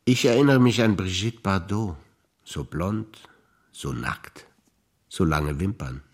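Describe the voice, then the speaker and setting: An actor playing a reflective man recalling memories in a theatrical duologue, speaking in measured, short phrases that often trail off quieter. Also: low voice, exaggerated voice. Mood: reflective, wry. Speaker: stage actor. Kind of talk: theatrical spoken monologue of memories.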